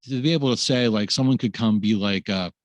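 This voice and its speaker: A man's voice: fairly low pitch, fairly quick, no echo. A man hosting a casual webinar, rambling with many fillers and restarts. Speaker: host.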